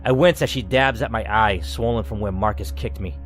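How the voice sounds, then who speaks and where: A man's voice: fairly low pitch, brisk. A man reading a game's story aloud expressively, mixing in casual commentary as the host. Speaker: gamer, channel host. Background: music.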